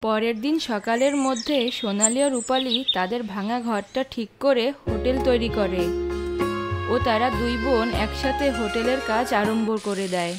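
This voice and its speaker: A woman's voice: on the high side, normal speed, over loud music. Woman narrating a cartoon story.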